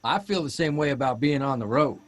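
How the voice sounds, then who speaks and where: An actor playing an easygoing, curious grown son of farm folk in an audio drama, mild, good-natured and conversational. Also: male voice, mid-range voice, lively intonation, fairly quick, close to an everyday voice. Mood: thoughtful, amiable.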